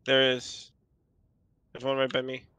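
A man's voice: mid-range voice, monotone, measured pace, bright, forceful tone, clear, resonant tone, dry recording. A man chatting and joking with friends while playing a survival game online, laughing often. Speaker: gamer. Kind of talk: casual multiplayer game chat.

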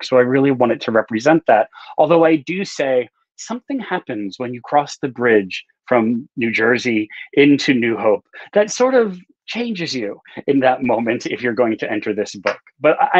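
A man's voice: medium pitch, no room sound. A middle-aged American man, an author being interviewed, chatting casually and telling stories on a video call with slightly muffled sound. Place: book-lined room at home, on a video call.

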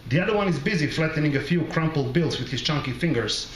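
A middle-aged man from the former Yugoslavia reading his literary short story aloud at a microphone, in a measured, deliberate and wry way. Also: a little high, expressive. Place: small bar with brick wall, indoors. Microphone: close microphone on a stand. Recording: roomy live recording, background noise.

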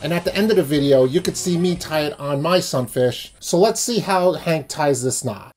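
A man's voice: on the high side, moderate pace, darkish tone, faint music behind. A man explaining things as a sailing channel host in a how-to vlog. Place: small indoor room.

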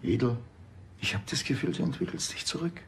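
An actor playing a sarcastic police officer in a TV drama, with a gravelly, husky voice and dry, mocking manner. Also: male voice, mid-range voice, animated, brisk, a little hiss or hum. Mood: scornful.

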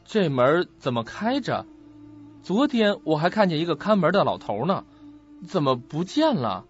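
Actor as a high-voiced troubled young man. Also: very animated, moderate pace. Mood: puzzled. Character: troubled, protective young male student. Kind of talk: audio drama acting. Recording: dull lo-fi recording with music.

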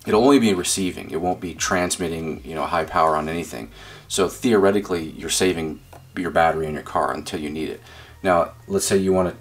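A man giving a casual hands-on demonstration as a radio hobbyist, explaining informally and thinking aloud as he goes. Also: sits low. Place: indoors at a desk.

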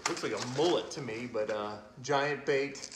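A man's voice: mid-range voice, normal speed. A man in his forties, a fishing channel host, chatting playfully and joking with his kids while unboxing at a table indoors. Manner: cheerful, joking, laughs often. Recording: echoey room with background noise.

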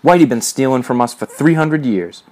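Guy doing buck-toothed young gang guy in a story, jeery goading slang, voice bumped higher. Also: normal speed, a little mellow, lightly acted. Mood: jeering. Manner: goading, jeering street slang. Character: grinning buck-toothed young gang sidekick, greedy, jeering.

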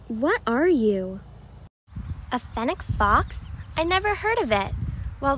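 A woman's voice: sits high, slight background noise, heavily acted. A woman voicing a shy, anxious young former pet animal with a hesitant, apologetic manner, in an amateur cartoon audition.

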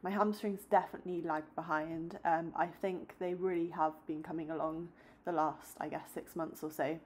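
A young woman in her twenties talks casually to her followers. Her voice sits a little low for a woman, upbeat and chatty when close to a phone, then steady and explanatory as she walks through her training. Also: moderate pace, husky. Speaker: fitness vlogger. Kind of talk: casual vlog chat and workout voice-over. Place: indoors at home, close to a phone camera.

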